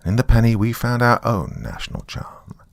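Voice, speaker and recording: A man playing a doomed, weary survivor recording a final message in a horror story, hushed and halting with bitter, wry asides. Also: medium pitch, sing-song, creaky, no room sound, pitched above the performer's own voice. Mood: bitter, reflective. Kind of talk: dramatic first-person horror monologue.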